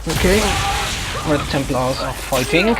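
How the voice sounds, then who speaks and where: A man giving casual game commentary, thinking aloud in a halting, hesitant way. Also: fairly high pitch, expressive, very noisy. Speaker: gameplay streamer. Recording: game music behind. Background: video game music.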